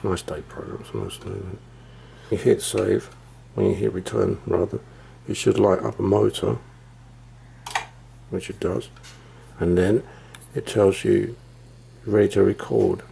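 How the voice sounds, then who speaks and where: A low, gravelly male voice with a dark, mellow tone talks through a hands-on demo at an easy, rambling pace. He thinks aloud and is quick to call himself naive. A faint hiss sits under the recording. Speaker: retro computer hobbyist.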